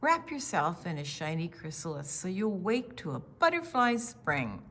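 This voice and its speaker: A woman's voice: fairly low pitch, sing-song, unhurried, a bit bright, exaggerated voice. A woman reading the part of a woolly caterpillar giving kindly, instructive advice in a children's storybook. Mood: helpful.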